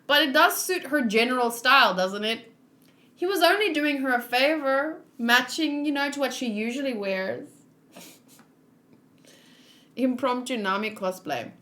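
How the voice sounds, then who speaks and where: Higher-voiced woman, casual and playful. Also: a little slow, pauses often, pushed and bright. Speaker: vlogger reading manga aloud with commentary. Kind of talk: casual commentary during a read-along.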